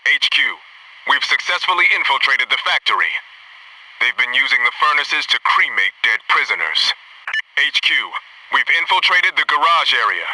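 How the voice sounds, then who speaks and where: An actor playing a disciplined, alert American Navy SEAL in a military video game, giving clipped radio reports. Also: male voice, mid-range voice, animated, moderate pace, pushed and bright, no echo, recorded from a distance, rough recording. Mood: focused.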